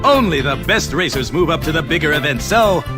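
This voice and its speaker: A man's voice: a little high, animated, over music, lightly acted. An actor playing a brash, ratings-hungry racing commissioner and presenter in a video game, showy and condescending in his sports announcing. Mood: menacing showmanship. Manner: showy, condescending, drawn-out emphasis. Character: brash, ratings-hungry male racing showman, taunting and bombastic.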